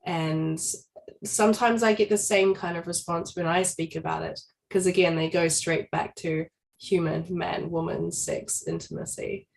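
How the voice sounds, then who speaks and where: A woman's voice: lowish voice, steady tone, normal speed, creaky, a little hiss or hum, poor audio. A woman co-hosting an interview, talking casually and thoughtfully, sometimes searching for the right words. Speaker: co-host. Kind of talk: casual conversational chat.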